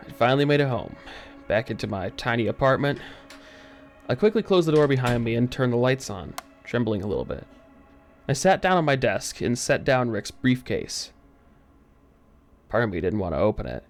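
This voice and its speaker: A man narrating a first-person horror story in a dramatic, tense, expressive way with pauses for suspense. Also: mid-range voice. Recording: eerie music under the voice. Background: background music.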